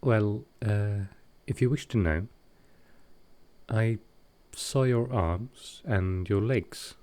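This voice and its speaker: A man playing a gentle, awkward male vampire in an intimate comfort roleplay, speaking tenderly and haltingly. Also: lowish voice. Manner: halting, hesitant, tender, soft-spoken.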